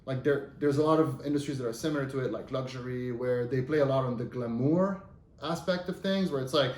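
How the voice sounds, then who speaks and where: A man's voice: mid-range voice, a little fast, darkish tone. Chatty man in his thirties, echoey room. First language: Arabic. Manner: conversational and loose, with many fillers and rephrasings. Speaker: interview guest. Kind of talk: casual career interview. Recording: roomy echo.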